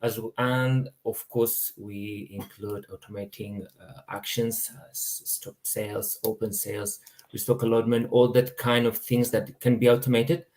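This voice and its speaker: A man, a business director, introducing his company on an online panel in earnest, slightly halting phrasing. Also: mid-range voice, level tone, a little mellow.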